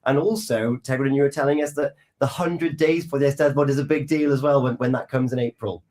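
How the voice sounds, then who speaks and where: Brief: male breakfast radio host, mid-pitched voice, chatty and upbeat. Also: brisk. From Wales. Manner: chatty, lively questions with warm asides. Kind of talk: casual radio chat.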